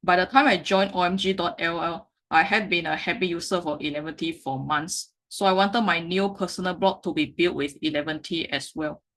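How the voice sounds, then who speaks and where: Woman, thirties, Mandarin speaker, meetup talk with slides, halting, restarts often, slightly low voice. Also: fairly bright tone. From Malaysia. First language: Mandarin Chinese. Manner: halting, frequent self-corrections. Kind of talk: presentation with slides.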